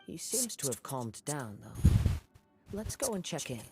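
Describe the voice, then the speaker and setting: A young man voicing a gentle wandering samurai in a video game, with a calm, composed and reflective way of talking. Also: fairly high pitch, a little slow. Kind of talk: game voice acting. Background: game music.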